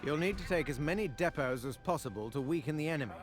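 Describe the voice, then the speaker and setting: A man's voice: on the high side, expressive, fast talker, close to an everyday voice. A man playing a wary, dutiful intelligence officer in a video game, formal and earnest in clipped military phrasing. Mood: urgent advising. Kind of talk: video game briefing dialogue. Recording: loud orchestral music behind. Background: loud game music.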